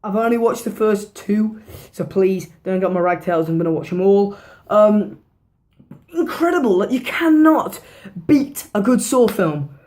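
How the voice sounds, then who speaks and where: A woman talks through a horror film in a loose, chatty review, her voice hoarse and worn down. She rambles, restarts her sentences and drops swear words without a second thought. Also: medium pitch, animated, measured pace, darkish tone. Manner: rambling, swears freely, repeats herself. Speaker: movie reviewer. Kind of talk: casual movie review vlog.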